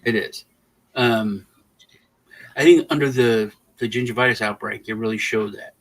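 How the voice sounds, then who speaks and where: A man's voice: on the low side, low-quality recording. Rambling American man, casual talk. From Texas, raised in Germany. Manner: rambling, halting restarts. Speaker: livestream host. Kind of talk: casual political chat.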